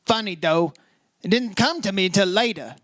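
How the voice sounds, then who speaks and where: Radio detective, male actor: high-pitched, hardboiled and sardonic, firing off clipped wisecracks. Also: normal speed, a bit bright, no echo, studio quality. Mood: accusing. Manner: sardonic, taunting, clipped phrases. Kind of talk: old-time radio detective drama acting and narration.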